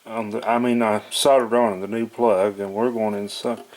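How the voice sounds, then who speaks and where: A man's voice: lowish voice, level tone, moderate pace, darkish tone. A man giving casual, unscripted narration of a hands-on repair, folksy and hesitant as he thinks aloud. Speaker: hobbyist demonstrating an electronics repair. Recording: noticeable background noise with clicks.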